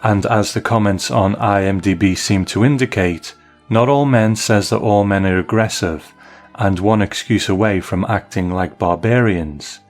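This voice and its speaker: Low-voiced man reading aloud, faint music behind. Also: moderate pace. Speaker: podcast host reading listener email. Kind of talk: reading aloud a listener's email.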